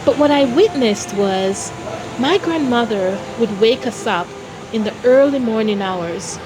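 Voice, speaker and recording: A woman from Jamaica telling personal stories as an interview guest, in a deliberate, expressive way. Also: on the high side, low-quality recording. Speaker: interview guest, founder of a philanthropy organization.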